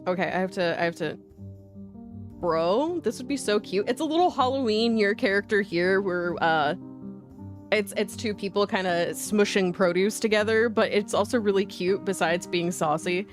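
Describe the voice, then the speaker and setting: A woman's voice: mid-range voice, moderate pace. A woman livestreamer rambling casually to her viewers in a playful, goofy way, full of slang and silly exclamations. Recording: music playing behind the voice.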